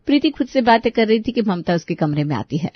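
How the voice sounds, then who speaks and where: A woman's voice: mid-range voice, big pitch swings, quick pace, dry recording, highs cut off. A woman storyteller narrating a scripted family tale.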